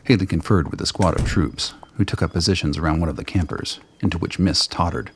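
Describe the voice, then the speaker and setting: A man reading scripted narration for a radio play in a deep, gravelly voice. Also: a little fast, slight background noise.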